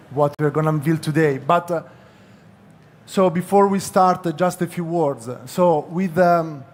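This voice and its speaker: A man from Italy speaks with an Italian accent in a slightly high voice. He presents as an executive unveiling a product, with a halting, hesitant delivery full of pauses. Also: a little mellow. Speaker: company executive presenting at a press conference.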